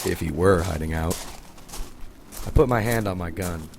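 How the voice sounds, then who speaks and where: Deep-voiced young detective narrating. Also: male voice, moderate pace, gravelly, background noise. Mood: cautious, tense. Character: earnest, cautious young male police detective. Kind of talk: first-person noir narration in audio drama.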